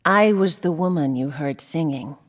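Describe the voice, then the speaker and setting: Low-voiced earnest church singer. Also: female voice, normal speed. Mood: earnest, reverent. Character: gentle, devout professional wedding singer, sincere and curious. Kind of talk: naturalistic audio drama acting. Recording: reverberant hall-like church acoustic.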